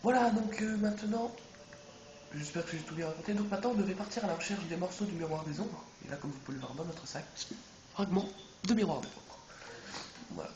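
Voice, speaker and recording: Stuffy-nosed gamer in echoey room. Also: male voice, high-pitched, fairly quick. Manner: rambling, self-correcting, chatty. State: stuffy with a head cold. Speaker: let's play gamer. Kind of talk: casual gameplay commentary. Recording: echoey room with background noise.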